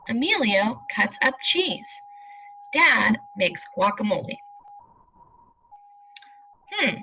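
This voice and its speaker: A woman's voice: sits high, sharp tone, slight background noise. A woman teaching young pupils, reading aloud and giving reassuring instructions with frequent checking questions, on a slightly muffled recording. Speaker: elementary school teacher.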